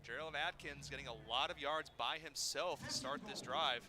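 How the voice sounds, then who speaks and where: A man giving color commentary on high school football from a press box, with dry, wry analysis. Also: moderate pace, a crowd in the background. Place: press box at outdoor stadium.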